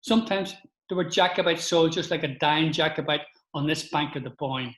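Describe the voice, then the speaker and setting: A man's voice: a little high, moderate pace, fairly bright tone, echoey room. An older man from Northern Ireland with a Northern Irish accent, giving an informal talk with a slideshow, chatty, with restarts and asides to his listeners.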